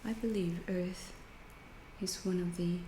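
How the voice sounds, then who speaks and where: Woman acting as a calm alien scientist, soft mellow whispery asmr, real slow n detached, echoey w bit of noise. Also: on the low side, natural voice. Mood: calm, inquisitive. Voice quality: soft, breathy, often whispered. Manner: slow, deliberate, halting, clinical and detached. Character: calm, curious alien researcher questioning an abducted human. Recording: roomy echo, faint background noise.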